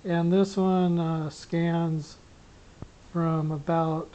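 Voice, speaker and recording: A middle-aged hobbyist giving a casual, unscripted gear demonstration, rambling and often pausing mid-sentence. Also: mid-range voice, level tone, dark tone, dull audio. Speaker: amateur radio hobbyist giving a gear demo. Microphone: small camera pinned to t-shirt. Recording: noisy clip-on camera sound with clicks.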